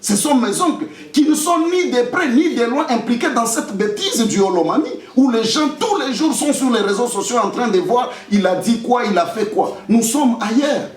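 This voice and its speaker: Young congolese guy making a defiant statement, really lively intonation, echoey room. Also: very high voice, normal speed, hardly any pauses. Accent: Congolese French. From Democratic Republic of the Congo. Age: young adult. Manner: emphatic, defiant, repeats phrases for effect. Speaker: lawyer and political candidate. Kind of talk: press statement answering accusations. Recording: echoey room, distant microphone.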